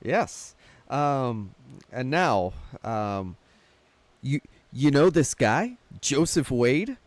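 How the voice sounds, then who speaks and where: A man's voice: medium pitch, sing-song, drawn-out words, no room sound. Male podcast host, medium register, conversational, dry recording. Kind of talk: casual film discussion.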